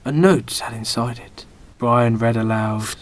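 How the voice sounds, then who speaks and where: A man narrating a comic radio play as a podcast host, with dramatic, deliberate storyteller phrasing. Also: mid-range voice. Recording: amateur podcast, clearly noisy background.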